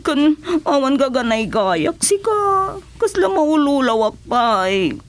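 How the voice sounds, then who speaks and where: Slow, plaintive sickly Ilocano older woman. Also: high-pitched, big pitch swings, a little hiss or hum, exaggerated voice. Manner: drawn-out, plaintive. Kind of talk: Ilocano radio drama acting.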